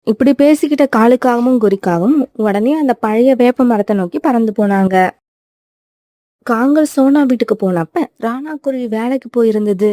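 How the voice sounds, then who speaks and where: Mellow woman narrating a cartoon story. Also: on the high side, normal speed, dry recording, clean studio sound.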